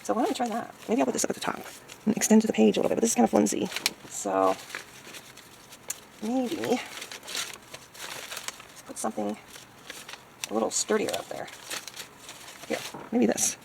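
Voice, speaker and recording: Woman, heavy vocal fry, hoarse, hesitant, musing craft-video chat, faint background noise. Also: on the high side, sing-song, moderate pace, long pauses. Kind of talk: casual crafting chat, thinking aloud.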